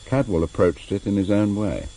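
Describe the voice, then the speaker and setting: A man playing a sombre, measured narrator of a radio spy drama, gravelly and husky. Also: fairly low pitch, expressive, dark tone, rough recording. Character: sombre, measured male storyteller of an espionage tale.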